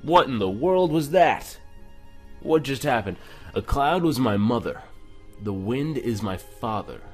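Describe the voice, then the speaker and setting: A man's voice: mid-range voice, expressive, a little slow, a little mellow, soft background music. A man hosting a let's play, casually reading game text aloud with some stumbles and commenting on it. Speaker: gaming video host.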